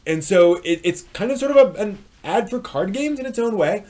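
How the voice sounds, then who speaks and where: Male live-stream reviewer, slightly high voice, halting and self-correcting, unscripted delivery. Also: lively intonation, a little mellow. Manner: halting, hedging, repeats and rephrases phrases; frequent throat clearing.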